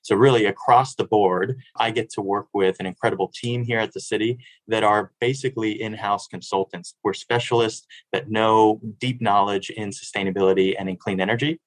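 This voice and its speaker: A man's voice: fairly low pitch, fairly even intonation, brisk, few pauses, dry recording, low-quality recording. A man in his thirties from Miami, a city sustainability director answering interview questions in an earnest, fluent way with small restarts. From Miami, Florida.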